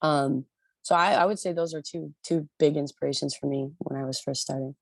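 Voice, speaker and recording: A woman from the Detroit area with a notably low, gravelly voice talks casually as an interview guest. She rambles with fillers and restarts. The sound is dry and close. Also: steady tone, a little fast, no room sound. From Detroit area, USA. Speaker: rock band lead singer, guest.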